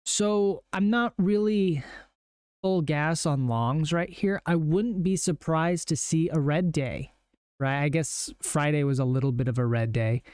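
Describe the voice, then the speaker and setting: Young man, hoarse worn-out voice, slightly high pitch, laid-back chatty streamer, close dry mic. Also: a little mellow, no echo. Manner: laid-back, chatty, lots of ums and rights. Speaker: trading streamer. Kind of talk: casual live market walkthrough.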